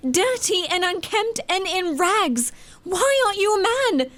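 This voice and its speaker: A woman's voice: extremely high pitch, expressive, unhurried, a bit bright, exaggerated voice. A woman playing an impetuous, demanding, dreamy eighteen-year-old girl in an audio drama. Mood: urging, scornful.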